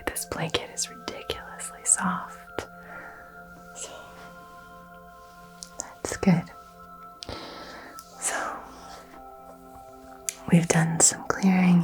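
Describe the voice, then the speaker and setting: Slow, low whispering woman. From American South. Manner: soft, slow, soothing whisper. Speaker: ASMR creator doing a crystal healing. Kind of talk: whispered ASMR roleplay.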